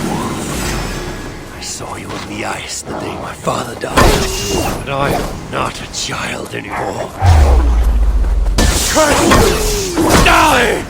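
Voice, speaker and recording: An actor playing a proud, vengeful, brooding warrior god in a video game cutscene, with grand archaic phrasing. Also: male voice, extremely high pitch, creaky, full character voice. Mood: furious, desperate.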